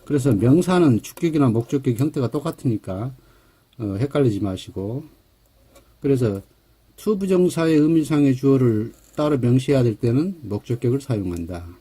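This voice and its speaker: A middle-aged man with a Jeolla Korean accent giving a relaxed English grammar lecture, pausing on fillers and correcting himself. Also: medium pitch, husky. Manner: relaxed, slightly hesitant, with fillers and self-corrections.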